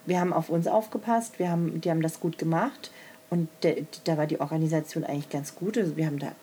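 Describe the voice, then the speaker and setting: A woman's voice: low-pitched, steady tone, fast talker, dark tone, slightly rough. A woman, chatting casually and bluntly, swearing freely and teasing. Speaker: coach and organizer. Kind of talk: casual podcast chat.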